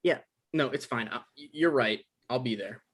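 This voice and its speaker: A man's voice: mid-range voice, moderate pace, husky, close to an everyday voice. Man acting a flustered, apologetic boyfriend type. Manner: stammering, flustered, naturalistic. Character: anxious, apologetic man confronting his exes, defensive. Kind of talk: live table read acting.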